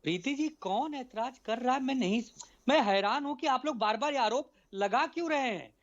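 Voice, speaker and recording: A man's voice: very high voice, animated, normal speed, dull audio. A man speaking as a party spokesperson in a heated TV debate, combative, repeating himself and posing rhetorical questions.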